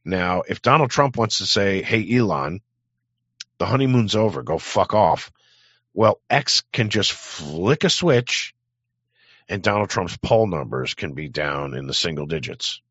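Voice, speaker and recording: A man's voice: sits low, animated, measured pace, a bit bright, breathy edge, no echo, lo-fi sound. A man hosting a livestream, rambling through a profane, sardonic political rant. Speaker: livestream host.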